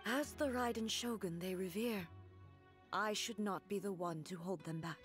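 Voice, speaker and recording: An actress voicing a solemn, regal female god-ruler in a game, speaking in a measured, formal, grave way. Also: mid-range voice, faint music behind, lightly acted. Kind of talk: game voice acting.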